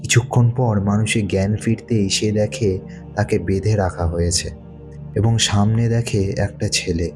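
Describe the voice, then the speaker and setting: A man with a low voice narrates a suspense story. His phrasing is measured and dramatic, in short weighted pieces, with music faintly behind him. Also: steady tone.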